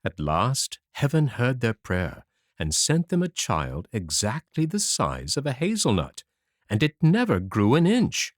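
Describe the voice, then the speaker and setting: A man narrating a children's fairy tale audiobook in an expressive, animated storytelling manner. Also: medium pitch, a little slow, no echo, studio quality.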